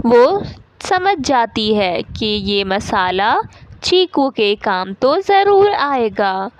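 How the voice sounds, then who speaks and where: A woman narrator reading a scripted children's cartoon story. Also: on the high side.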